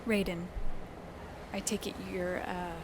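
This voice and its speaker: A woman's voice: on the low side, flat delivery, slow, background noise. A woman playing a curious, polite journalist in an audio drama, with an understated, questioning manner. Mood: polite, dry. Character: curious, polite female journalist, dryly reserved. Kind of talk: audio screenplay acting.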